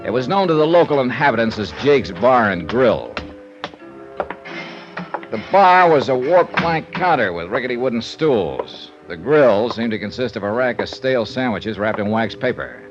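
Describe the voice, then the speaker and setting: An actor playing a shrewd, easygoing American insurance investigator in a 1950s radio detective drama, wry and probing. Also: male voice, mid-range voice, sing-song, normal speed, music in the background, lightly acted. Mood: wry narration. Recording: dull vintage radio recording.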